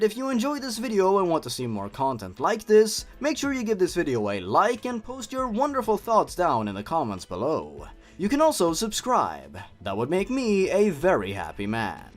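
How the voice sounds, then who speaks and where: A young man hosting a review show in scripted commentary, with theatrical, sardonic emphasis. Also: fairly high pitch. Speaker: host of a horror story review channel. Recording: music behind the voice. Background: background music.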